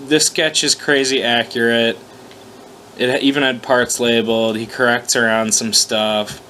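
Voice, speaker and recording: A man's voice: medium pitch, little pitch movement, unhurried, sharp tone, slight background noise. A man hosting an informal reading of his own fan fiction, swearing casually, mocking himself and stumbling over his words. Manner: self-deprecating, profane, stumbling over lines. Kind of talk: casual profane commentary and informal story reading.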